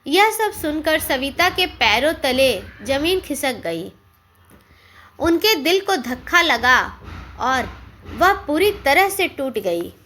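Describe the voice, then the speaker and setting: High-pitched woman narrating a story slowly. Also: very animated, bright, forceful tone, a little hiss or hum. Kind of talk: scripted narration of a sentimental family story.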